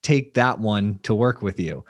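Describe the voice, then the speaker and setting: A man's voice: lowish voice, lively intonation, measured pace, dry recording. A man hosting a podcast, opening the show and chatting casually with an upbeat manner. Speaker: podcast host. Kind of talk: podcast interview.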